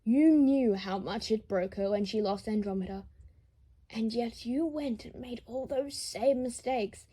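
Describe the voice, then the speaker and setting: Haughty older male aristocrat, read by a woman, cold, scornful and formal, mellow tone, for dramatic fan fiction. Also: fairly high pitch, unhurried, husky. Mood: scornful, accusing.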